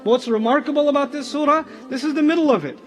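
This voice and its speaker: A man's voice: very high voice, fast, music in the background. A man giving an Islamic lecture in an emphatic, explanatory way, often repeating phrases. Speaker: religious lecturer.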